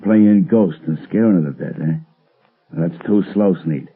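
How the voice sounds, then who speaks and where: Deep, husky, bullying gangster. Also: male voice, moderate pace. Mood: bullying, threatening. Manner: curt, threatening. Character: tough male gangster ex-convict, bullying, ruthless, slangy. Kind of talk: 1930s-40s radio gangster acting. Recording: muffled old radio recording.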